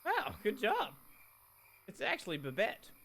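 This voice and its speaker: A man's voice: high voice, wildly swooping pitch, unhurried, gravelly, sharp tone, pitched above the performer's own voice, exaggerated voice. A man voicing a sassy, defiant young woman in a comedic visual novel, sarcastic and dramatic. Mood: mocking. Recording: prominent music behind the voice. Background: music.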